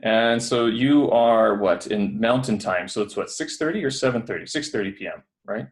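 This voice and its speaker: A man with a fairly low voice hosts a podcast from a building away from home, talking over a video call. He is chatty and friendly, wandering through his points with plenty of fillers. He is from Canada. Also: moderate pace. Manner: rambling, filler-heavy, friendly. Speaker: podcast host. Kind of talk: casual interview chat. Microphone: headphones on a video call.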